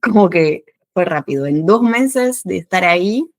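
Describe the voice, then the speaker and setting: French woman, lively Argentine-tinged Spanish. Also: lowish voice, dry recording, clean studio sound. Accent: Argentine Spanish with a French background. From France. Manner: lively, laughs often, quick bursts of speech. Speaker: guest, French teacher. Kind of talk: casual interview chat.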